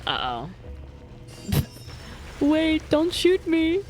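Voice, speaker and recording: A woman's voice: sits high, huge pitch swings, very slow, a little mellow. A young adult woman giving casual, joking gameplay commentary as a streamer, with playful exclamations. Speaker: video game streamer. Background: game music.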